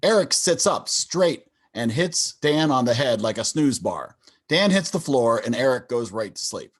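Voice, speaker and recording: A man's voice: medium pitch, sing-song, measured pace. A man narrating stage directions for a scripted sitcom table read over a video call.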